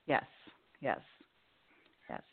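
A woman from Illinois, a nurse and cancer survivor, telling her story as a guest calling in to a radio interview. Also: extremely slow. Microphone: phone. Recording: tinny phone line.

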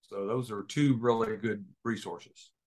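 A man giving informal, practical, step-by-step explanations as a guest expert in an interview, pausing hesitantly as he goes. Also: fairly low pitch, fairly even intonation, darkish tone. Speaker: guest expert, boating safety educator.

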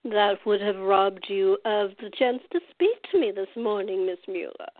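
A woman's voice: medium pitch, normal speed, lightly acted. A woman playing a blunt, imperious old woman in a radio drama, brusque and disapproving. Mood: prim, pointed. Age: older. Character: cantankerous, blunt old Australian woman, a retired nurse. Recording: dull lo-fi recording.

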